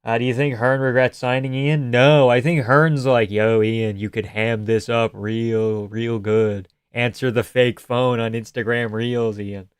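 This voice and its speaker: A young man from Canada streams live, riffing on viewer comments in a loose, sarcastic way with plenty of swearing. His voice comes through smooth and clear on a close mic in a dry, dead room. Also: medium pitch, flat delivery, measured pace, hardly any pauses, no echo. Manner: casual, sarcastic, swears freely.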